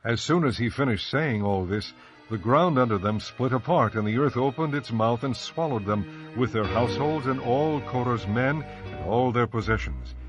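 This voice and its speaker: A man reads scripted narration for a dramatized audio Bible. He is measured and solemn, telling it as a story. The sound is slightly dull, with faint music behind. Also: medium pitch.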